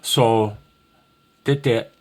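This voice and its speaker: A man's voice: medium pitch, fairly even intonation, drawn-out words. A man giving a casual, rambling amateur radio tutorial in a folksy, self-deprecating, slightly nervous way.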